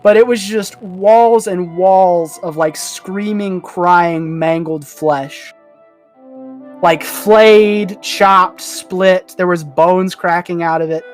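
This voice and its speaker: A man's voice: high-pitched, slow, faint music behind. A man talking casually and emphatically as a podcast panelist, telling stories in a colloquial way. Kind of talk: casual chat and storytelling.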